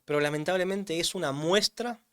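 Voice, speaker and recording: Emphatic man, slightly high voice. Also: moderate pace, a bit bright. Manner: emphatic, explanatory, repeats words for weight. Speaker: strength coach for older adults, guest. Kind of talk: interview answers.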